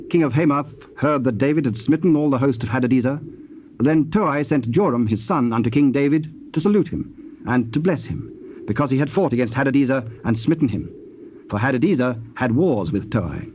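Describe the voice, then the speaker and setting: A man with a British accent narrating scripture in a formal, measured, scripted style. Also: medium pitch, a little mellow. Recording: muffled tape, faint background noise.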